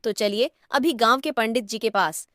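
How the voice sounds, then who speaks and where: A woman's voice: on the high side, moderate pace, fairly bright tone, no echo, studio quality, natural voice. A woman playing a brave, worried young village woman in a cartoon story, earnest and dramatic. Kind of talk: Hindi cartoon story acting. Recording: faint music behind.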